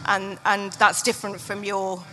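A woman's voice: medium pitch, little pitch movement, moderate pace, pushed and bright, rough recording. A woman speaking candidly and reflectively in an unscripted live on-stage interview as an author and podcaster.

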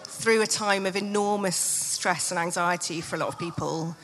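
A woman's voice: on the low side, normal speed, a bit bright, poor audio. Reflective woman in candid conversation. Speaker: author and podcaster being interviewed on stage. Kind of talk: candid unscripted live conversation. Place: live festival stage.

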